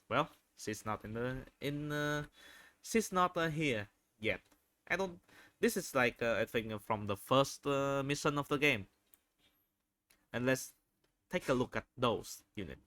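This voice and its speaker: A man giving unscripted video commentary, halting often as he searches for words and repeats phrases. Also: medium pitch, sharp tone, no echo. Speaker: video host reviewing game screenshots.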